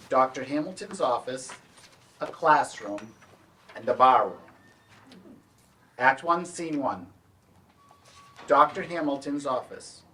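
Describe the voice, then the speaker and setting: A man's voice: mid-range voice, fairly bright tone. Distant man reading stage directions. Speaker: stage directions reader and introducer. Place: library event room, staged reading. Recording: distant mic, noisy room ambience.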